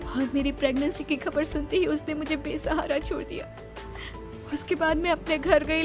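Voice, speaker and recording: A woman acts out a young pregnant woman from a spooky cartoon tale. Her voice sits high, plaintive and confiding, as someone in distress. Music plays clearly behind her. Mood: sorrowful. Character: distressed, pleading pregnant woman of twenty-six, horror cartoon.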